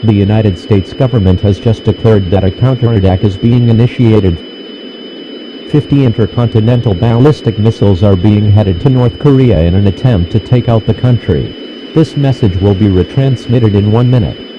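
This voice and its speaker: A man voicing a stern, impersonal emergency alert announcer in a formal, measured official style. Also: on the low side, lightly acted. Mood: grave, official. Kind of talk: scripted emergency broadcast announcement. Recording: dull, noisy radio-style broadcast.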